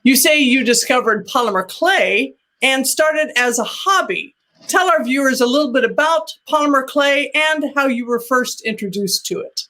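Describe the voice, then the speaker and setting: A woman's voice: high voice, animated, normal speed, pushed and bright. Woman hosting an arts web show. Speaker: host. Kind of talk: scripted web series hosting.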